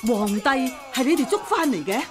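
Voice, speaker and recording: A woman's voice: high voice, expressive, moderate pace. An actress playing a feisty, impatient older female martial arts master in a radio drama, blunt and brusque, with a Hong Kong Cantonese accent. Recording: radio drama with music behind.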